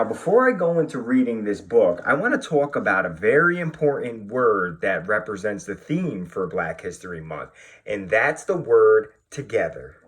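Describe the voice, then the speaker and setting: A man, a police officer, talking and reading to children in an expressive way, addressing them directly. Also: mid-range voice, breathy edge. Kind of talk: children's read-aloud and talk to pupils.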